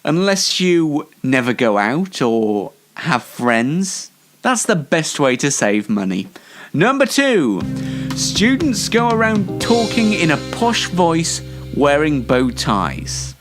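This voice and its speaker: A man's voice: a little high, very animated, takes it slowly, faint music behind. A young British man hosting an online comedy show, delivering a scripted monologue to camera in a wry, sarcastic, mock-formal way. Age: young adult. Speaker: host of an online entertainment show.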